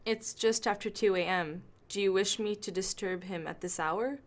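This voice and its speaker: A woman's voice: medium pitch, moderate pace, natural voice. A woman playing an efficient assistant in a radio play, formal and polite with a dry wit.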